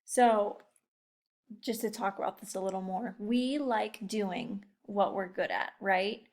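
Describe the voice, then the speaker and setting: A woman's voice: medium pitch, slow, darkish tone. A young woman teacher chatting casually and encouragingly to children, often putting questions straight to her listeners. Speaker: teacher leading a read-aloud lesson.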